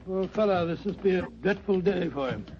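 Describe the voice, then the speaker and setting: Fussy british gentleman sidekick, highish gravelly voice, blustery, old radio play, muffled. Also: expressive, fairly quick, noisy background. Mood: sympathetic. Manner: blustery, old-fashioned and exclamatory. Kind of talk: 1940s radio drama acting.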